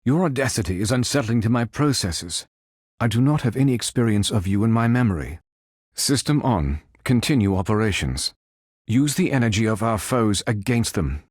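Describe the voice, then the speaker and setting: A calm android superhero for a video game. The voice is low and lively in pitch, recorded very dry. He speaks with formal, precise, logical phrasing, like a machine of noble purpose. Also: moderate pace, husky, dry recording. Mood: measured, analytical. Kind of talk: video game character voice lines.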